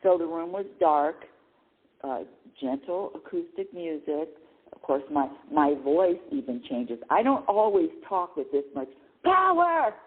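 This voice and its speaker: A woman's voice: low voice, big pitch swings, unhurried. A middle-aged woman hosting a spiritual radio talk show, talking animatedly with frequent restarts, repeated words and laughs. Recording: phone-like lo-fi line.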